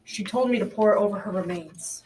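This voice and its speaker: A woman's voice: mid-range voice, brisk, close to an everyday voice. A girl as an earnest young hero, echoey. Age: child about 12 to 13. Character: brave young lad, loyal fairy-tale hero. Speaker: student actor in a school radio drama. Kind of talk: amateur radio drama acting. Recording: echoey room, distant mic, clear noise.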